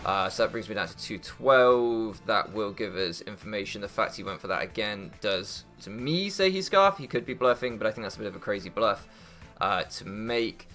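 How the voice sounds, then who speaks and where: A man's voice: fairly low pitch, expressive, moderate pace, few pauses, fairly bright tone, soft background music. A young man doing casual strategy game commentary, thinking aloud and deliberating as he goes, with hesitations. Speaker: gaming YouTuber.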